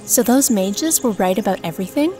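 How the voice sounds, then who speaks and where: A woman's voice: medium pitch, expressive, a little fast, warm tone, a little hiss or hum, natural voice. A woman playing a caring, practical elf mother, earnestly explaining, in a fantasy audio drama.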